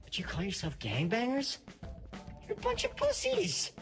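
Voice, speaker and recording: A woman's voice: on the high side, huge pitch swings, normal speed, faint music behind. An actress playing a brash, foul-mouthed young gangster woman, in a video game cutscene. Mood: scornful, aggressive.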